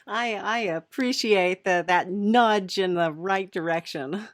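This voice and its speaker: A woman's voice: medium pitch, slow pace, no room sound. Playful woman hosting, dry close sound. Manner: playful, wry, chuckling reactions. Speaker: podcast host. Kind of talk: improvised comedy interview.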